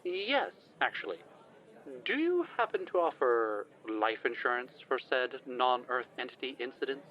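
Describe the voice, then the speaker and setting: A man's voice: medium pitch, very animated, normal speed, lightly acted. A man playing a wary, sardonic reluctant adventurer in an audio drama, with hesitant, dry, formal wording. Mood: businesslike. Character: wary, sardonic man reluctantly dragged into dangerous paranormal missions. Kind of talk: audio drama phone-call acting. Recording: dull lo-fi sound, typing.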